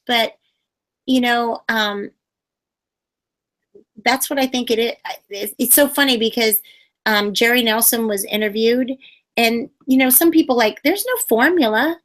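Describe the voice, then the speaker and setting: A woman puppeteer giving advice in a casual interview, rambling with many fillers and restarts. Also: on the high side.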